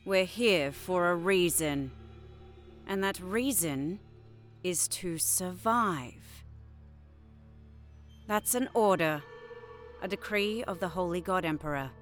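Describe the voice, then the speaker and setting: A woman plays a menacing military commissar in a sci-fi war drama. She gives orders very slowly in a low, cold voice, stern and in full command. Faint music sits behind her.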